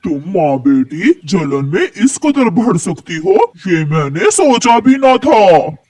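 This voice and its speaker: An actor playing an earnest, doting father crow in a cartoon, with a measured delivery. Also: sits high, very animated, warm tone, no room sound, exaggerated voice. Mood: reproachful.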